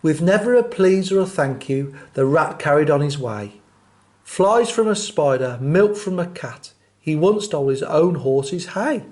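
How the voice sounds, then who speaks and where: A man's voice: a little high, expressive, measured pace, darkish tone. A man presenting and reading storybooks expressively for children.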